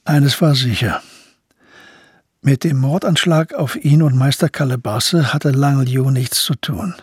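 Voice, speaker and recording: An actor as the sober narrator of a historical crime radio play, with a husky voice. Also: male voice, medium pitch, a little slow, a little mellow, dry recording. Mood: measured. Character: sober male storyteller of a historical crime tale.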